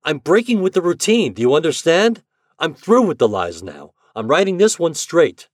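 A man voicing a determined male propagandist writer who talks in a forceful, snapping way. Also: medium pitch, big pitch swings, moderate pace, no room sound, clean studio sound, voice pushed up.

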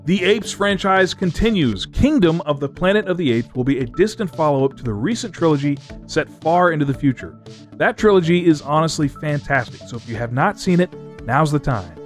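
A man's voice: on the high side, animated, brisk. A man hosting a scripted entertainment news segment, reading as narration. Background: music.

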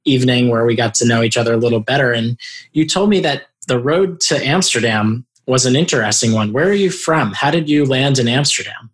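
A man's voice: medium pitch, fairly quick. A man hosting an interview over a video call, asking friendly, conversational questions. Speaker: podcast host. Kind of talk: casual interview.

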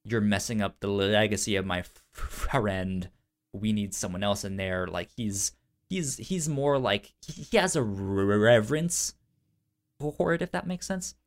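A man's voice: lowish voice, unhurried. A man hosting a casual pop-culture podcast in an informal, slangy way, often stuttering and repeating syllables. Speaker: podcast host.

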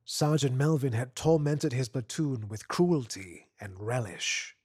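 A man reading literary fiction aloud as an expressive narrator. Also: mid-range voice, measured pace, breathy edge, no echo, clean studio sound. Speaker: story narrator.

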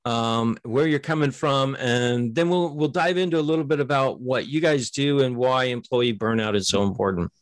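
A man's voice: medium pitch, normal speed, hardly any pauses, no room sound. A man hosting a podcast, upbeat and encouraging as he asks his guest questions. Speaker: podcast host. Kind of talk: casual interview conversation.

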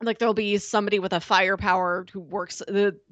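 A woman co-hosting a podcast in casual chat, talking in an animated way and often laughing as she speaks. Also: mid-range voice, fairly quick, no echo, lo-fi sound. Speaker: podcast co-host.

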